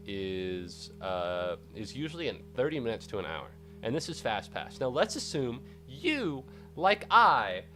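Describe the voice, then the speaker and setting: Animated, congested young man streaming. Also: medium pitch. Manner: animated, rambling, excitable outbursts. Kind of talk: casual live-stream chat.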